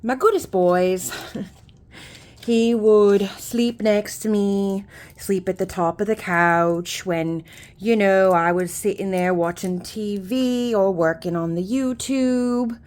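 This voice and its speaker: A woman's voice: mid-range voice, slow, a little mellow, lightly acted. A woman putting on a chatty, homely persona with a mock Irish or Scottish lilt, rambling with folksy phrases and many fillers. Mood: sad, rambling. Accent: put-on Irish or Scottish. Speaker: YouTuber. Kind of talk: casual chat.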